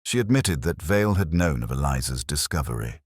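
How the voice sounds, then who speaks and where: A brooding, weary police inspector played by a man tells his case as an audio drama. His voice is extremely deep, with a lively melodic range. The delivery is solemn and literary, recorded close and dry. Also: fairly quick, dry recording. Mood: somber, reflective. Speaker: narrator of a detective audio drama. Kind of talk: scripted first-person mystery narration.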